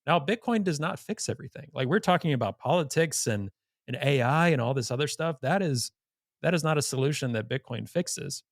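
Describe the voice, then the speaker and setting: A man's voice: a little high, animated, brisk, dry recording, studio quality. A man hosting a podcast in an informal, blunt conversational manner. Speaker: podcast host. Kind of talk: conversational interview.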